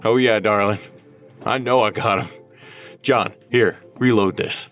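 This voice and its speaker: A man's voice: on the low side, fairly even intonation, unhurried. A man acting a commanding rural family man in an audio horror drama, barking folksy orders. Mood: firm, confident. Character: commanding rural family man rallying kin to shoot invaders. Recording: loud music behind the voice.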